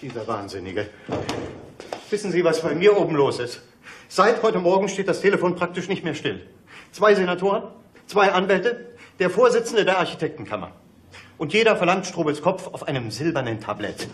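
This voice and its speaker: Exasperated, high-pitched male police boss. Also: very animated, normal speed. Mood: furious. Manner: agitated, biting. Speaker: police superior. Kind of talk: German radio crime drama acting.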